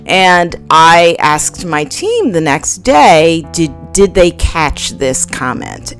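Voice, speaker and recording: Woman podcast host, low voice, talks real slow, soft guitar music behind. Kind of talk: scripted podcast intro.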